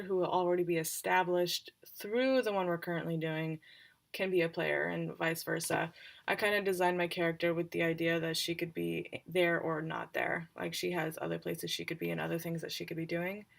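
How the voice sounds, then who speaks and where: Low-voiced woman with vocal fry. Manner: halting, thinking aloud. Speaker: livestream host and tabletop roleplayer. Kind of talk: casual livestream recap, unscripted.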